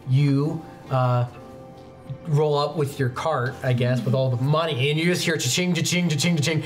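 Young man narrating haltingly over music. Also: a little high, steady tone. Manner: halting, conversational storytelling with pauses mid-sentence. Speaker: game master. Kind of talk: improvised narration of a tabletop roleplaying game. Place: indoor studio set at a game table. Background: background music.

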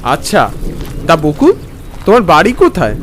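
Man playing an animated, high-pitched earnest storyteller. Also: moderate pace, slight background noise. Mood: polite, concerned. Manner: expressive, dramatic, earnest. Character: polite, hesitant townsman recounting a strange afternoon. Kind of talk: scripted story narration with acted dialogue.

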